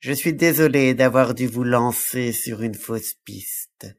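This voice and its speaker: A man playing a gravelly, courtly vampire count. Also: medium pitch, slow pace. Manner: smooth, courtly, menacing. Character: suave vampire count, older man, menacing. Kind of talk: audio drama acting.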